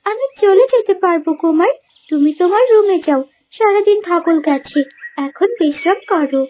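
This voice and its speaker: Woman as a shrill, very high young villainess. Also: normal speed, darkish tone, a little hiss or hum. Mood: falsely sweet. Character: scheming young female impostor, sly, vengeful, arrogant villain. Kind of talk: cartoon villain voice acting.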